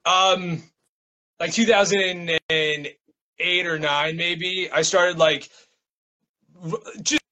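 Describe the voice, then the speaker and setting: Bright-voiced, higher-pitched man chatting casually. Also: fairly even intonation, takes it slowly, long pauses, rough recording. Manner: slangy, peppered with "like" and "man". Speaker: interview guest, poet and small-press publisher. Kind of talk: casual conversational interview.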